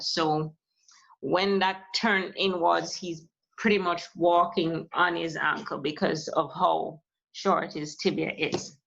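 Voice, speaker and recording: Woman from Jamaica, thirties, low voice, hesitant, lots of fillers, muffled lo-fi sound. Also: vocal fry. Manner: soft-spoken, hesitant, many fillers. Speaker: musician, guest. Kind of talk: casual interview talk. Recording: lo-fi muffled audio.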